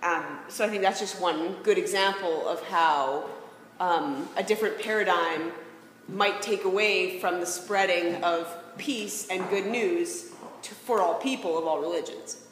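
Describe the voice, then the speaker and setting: A woman's voice: lowish voice, measured pace. Woman speaking in a very echoey hall. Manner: reflective, poses rhetorical questions. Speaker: speaker presenting ideas on faith and peacemaking. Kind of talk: informal public talk. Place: large reverberant room. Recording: very echoey hall, faint background noise.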